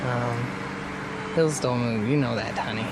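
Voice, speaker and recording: An actor playing an easygoing, joking husband on a car trip in a radio drama. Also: male voice, mid-range voice, a little slow, lightly acted. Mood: breezy, reassuring. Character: easygoing joking husband driving through the desert. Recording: clear car road noise.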